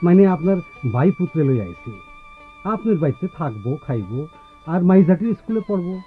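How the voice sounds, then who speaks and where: Animated, high-pitched Bangladeshi village man. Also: a little fast. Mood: humble, persuasive. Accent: rural Bangladeshi Bengali. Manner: polite, coaxing, folksy. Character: deferential, persuasive village in-law seeking a favour. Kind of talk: radio drama acting. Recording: tinny narrow-band radio recording with music.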